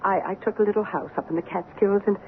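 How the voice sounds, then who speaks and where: An actress playing a careful, earnest middle-aged widow in an old-time radio drama, hesitant but insistent. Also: female voice, medium pitch, steady tone, quick pace, lightly acted. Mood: calm, explaining. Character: earnest widowed friend, calm believer in parapsychology. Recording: phone-like, noisy old radio recording.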